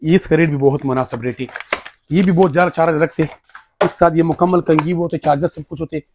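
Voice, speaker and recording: A man in his thirties, a shopkeeper, eagerly pitching products in a crowded electronics shop, recorded on a lapel mic. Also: fairly even intonation, fast, noisy background. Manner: eager sales pitch, repeating "ye dekh lo". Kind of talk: product demonstration.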